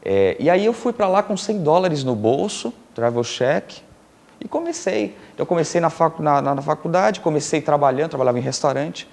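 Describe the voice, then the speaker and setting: A middle-aged man from Brazil, a filmmaker and producer telling stories as a TV interview guest, animated and laughing often, with many fillers and restarts. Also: fairly high pitch, fairly quick, vocal fry. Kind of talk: casual storytelling in a TV interview.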